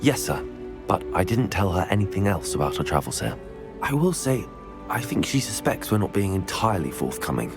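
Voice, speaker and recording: A man's voice: deep voice, very animated, a little fast. A man playing a respectful, guarded young wizarding student in a video game. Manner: respectful, reserved. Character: polite, guarded teenage male wizarding student. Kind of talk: scripted video game acting. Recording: prominent music behind the voice. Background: prominent background music.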